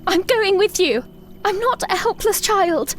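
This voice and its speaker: A woman acts out a defiant young princess in a fantasy audio drama. Her voice is extremely high, urgent and insistent. She pushes every line with sharp indignation over a bed of music. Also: lively intonation, measured pace.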